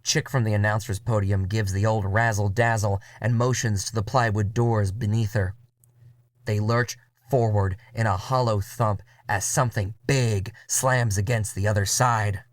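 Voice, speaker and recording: A man narrating a first-person noir audio drama in a wry, cynical voice with drawn-out phrasing. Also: fairly low pitch, steady tone, dry recording. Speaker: narrator and writer. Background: music.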